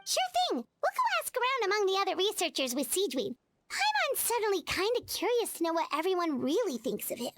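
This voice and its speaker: A woman's voice: wildly swooping pitch, fairly quick, a bit bright, soft background music, full character voice. A woman voicing a small, perky, nosy childlike fairy companion in a video game. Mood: perky, curious. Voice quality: squeaky, childlike.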